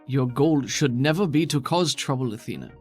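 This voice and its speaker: A man voicing a skeptical, wary female plant-being scientist in a formal, measured way for a tabletop game. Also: medium pitch, lively intonation, faint music behind, natural voice. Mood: stern, wary. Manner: formal, measured, avoids contractions.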